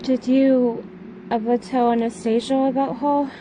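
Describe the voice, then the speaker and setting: Woman acting as a slow, downcast grieving daughter. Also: level tone. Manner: hesitant, downcast delivery. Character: grieving, withdrawn daughter who lost her mother. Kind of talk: amateur audio drama acting. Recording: noisy rumbling background.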